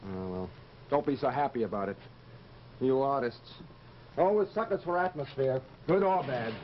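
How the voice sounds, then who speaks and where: A man's voice: mid-range voice, lively intonation, moderate pace, lightly acted. An actor playing a cautious, fussy, sardonic man with a dry, chiding manner in an old-time radio drama. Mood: uneasy, irritated. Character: cautious, fussy, sardonic male travel companion. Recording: muffled, faintly noisy old radio recording.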